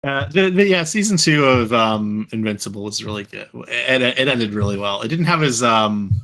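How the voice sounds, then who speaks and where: A man on a casual group podcast with a bright, forceful edge to his mid-pitched voice. He thinks out loud, starting and restarting his sentences as he goes. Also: expressive, normal speed. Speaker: podcast panelist.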